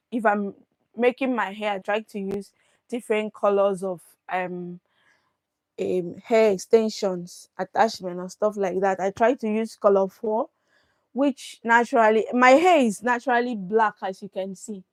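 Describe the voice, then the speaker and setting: A woman's voice: mid-range voice, dry recording. A young Nigerian woman with a Nigerian accent, hosting a casual live Q&A and chatting through viewer questions. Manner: chatty, reads viewer questions aloud and answers them. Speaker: livestream host.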